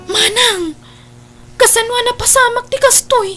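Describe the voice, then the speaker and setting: A woman with an Ilocano accent playing a devout, gently scolding younger sister in a radio comedy drama. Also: very high-pitched, unhurried, loud music behind the voice. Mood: concerned.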